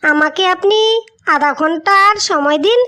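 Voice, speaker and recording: A woman's voice: high-pitched, moderate pace, bright, forceful tone. A woman voicing a meek young village daughter-in-law in a cartoon story, politely pleading. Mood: pleading, apologetic. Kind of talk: Bengali cartoon moral story acting. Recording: music behind the voice.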